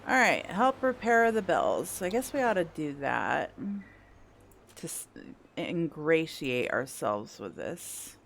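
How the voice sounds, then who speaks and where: A woman's voice: sits low, sing-song, takes it slowly, vocal fry, a little hiss or hum. A woman giving casual, blunt commentary while playing a video game. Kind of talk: casual let's play commentary.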